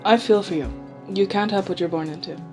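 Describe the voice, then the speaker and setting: A woman voicing a sympathetic, resolute escaped experiment trained as a killer, in an amateur animated series. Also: mid-range voice, fairly quick, soft background music, close to an everyday voice.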